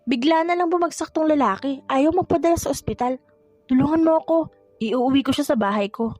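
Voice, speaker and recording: A woman as feisty young market vendor girl, high voice, exclamatory, cheeky, audio drama, dry sound over music. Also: brisk, a little mellow, dry recording, natural voice. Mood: flustered, panicked. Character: feisty, playful young female fish vendor, easily flustered.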